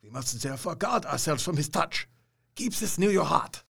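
Husky, creaky, commanding old professor. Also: male voice, on the high side, lively intonation, fairly bright tone, exaggerated voice. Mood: solemn, urgent. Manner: solemn, deliberate, formal phrasing. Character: grave, commanding older male professor leading vampire hunters. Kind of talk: dramatic audio drama acting.

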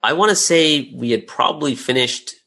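A man's voice: medium pitch, lively intonation, moderate pace, bright, forceful tone. A man speaking as an interview guest, telling anecdotes in a casual, conversational and candid way about his own work. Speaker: interview guest, audio drama creator.

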